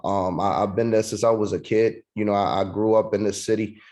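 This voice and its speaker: A man's voice: lowish voice, steady tone, fairly quick, darkish tone. A man from Puerto Rico, giving a casual, slangy sales training over a video call. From Puerto Rico, raised in New York City. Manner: casual and slangy, calls listeners "man" and "bro". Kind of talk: online sales training talk.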